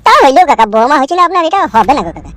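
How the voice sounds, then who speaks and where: A man's voice: very high-pitched, sing-song, rapid-fire, fairly bright tone, heavily acted. A man voicing a meek, fretful young village husband in a Bengali cartoon, pleading and deferential, with a rural West Bengal accent. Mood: upset, pleading. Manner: pleading, deferential, stammering when upset. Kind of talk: comic cartoon dialogue. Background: background music.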